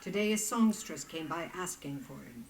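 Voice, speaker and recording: An actress playing a calculating head matron in a video game, speaking with cool, formal deliberation. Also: female voice, low-pitched, lively intonation, moderate pace, roomy sound, a little hiss or hum. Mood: courteous. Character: poised, calculating female head matron of a powerful order. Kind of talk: video game dramatic dialogue.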